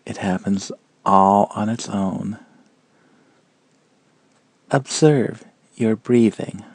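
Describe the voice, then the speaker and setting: Slow, mellow man guiding a meditation. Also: fairly low pitch, gravelly, slightly rough, no room sound. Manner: calm, measured, gentle phrasing with long pauses. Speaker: meditation guide. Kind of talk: guided meditation narration.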